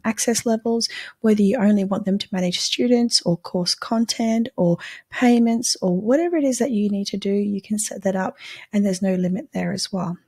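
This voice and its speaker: A woman hosting her own channel, giving a casual product review with a croaky voice, chatty and earnest, explaining step by step. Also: medium pitch, darkish tone. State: croaky voice today. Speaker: channel host.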